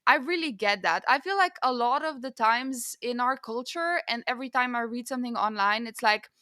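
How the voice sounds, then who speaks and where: A woman podcast host with a very bright, fairly high voice. She talks in a casual, opinionated style and peppers her sentences with "like". The sound is dry and close, with little room. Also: a little fast, no echo. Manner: informal and opinionated, peppers speech with "like". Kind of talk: casual book discussion.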